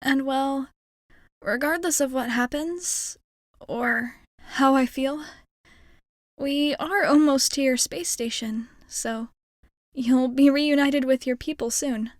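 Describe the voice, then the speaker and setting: A woman's voice: high-pitched, slow pace, no room sound, clean studio sound. A woman playing a shy, kind young alien girl in an intimate ASMR roleplay, speaking in a hesitant, earnest, rambling way. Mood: wistful, reassuring.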